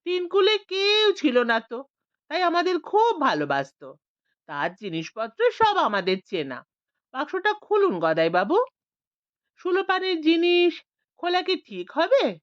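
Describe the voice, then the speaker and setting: Woman voicing a calm reasoning village man. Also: extremely high pitch, measured pace, no room sound, lo-fi sound, voice pushed up, full character voice.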